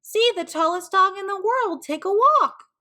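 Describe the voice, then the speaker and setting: A woman reading a storybook, voicing an excitable boy showman who calls out like an announcer. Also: extremely high pitch, measured pace, pitched above the performer's own voice, exaggerated voice. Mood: urgent. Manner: calling out like an announcer.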